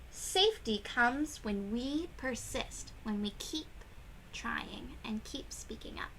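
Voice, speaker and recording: A woman reading a picture book aloud to young children, with expressive emphasis and questions to her listeners. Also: a little high, slight background noise, rough recording. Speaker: children's read-aloud host.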